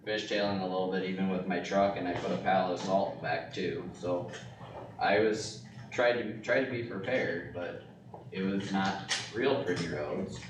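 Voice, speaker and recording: A man chatting casually in a halting, hesitant way as he helps set up a meeting. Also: sits low, steady tone. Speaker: county board meeting participant setting up the video call. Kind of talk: casual chat before a meeting. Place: meeting room. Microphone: distant room microphone. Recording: roomy, echoey, noisy distant room microphone.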